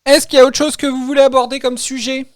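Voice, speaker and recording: Guy hosting a chatty podcast, pretty high voice, dry sound, keeps repeating himself, animated. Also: quick pace, no echo. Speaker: podcast host. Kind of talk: casual group podcast discussion.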